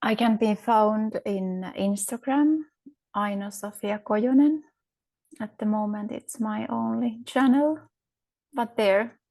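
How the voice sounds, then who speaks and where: A woman's voice: on the high side, takes it slowly, warm tone, rough recording. A woman with a Finnish accent, a podcast guest speaking thoughtfully, often searching for words with fillers and restarts. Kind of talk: reflective interview conversation.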